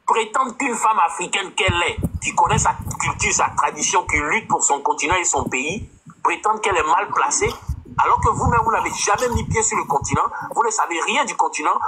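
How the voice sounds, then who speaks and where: A man's voice: high-pitched, animated, brisk, background noise. A man giving a heated, indignant monologue in a clip from a live stream, repeating himself as he accuses others.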